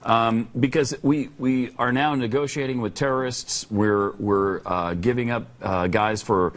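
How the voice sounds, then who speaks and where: An American man serves as co-host on a political talk show. His pitch is average for a man. He puts questions casually and with clear opinions. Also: fairly even intonation, measured pace.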